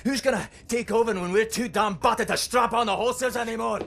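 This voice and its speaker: An actor playing a weary, bitter, middle-aged soldier, sweary and emotional in a game cutscene. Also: male voice, very high-pitched, a little fast, vocal fry, fairly bright tone, full character voice. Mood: bitter anger. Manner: sweary, emotional, swinging from muttering to outbursts. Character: bitter, weary, aging male resistance soldier. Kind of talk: dramatic game cutscene acting. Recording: noisy ambience behind the voice.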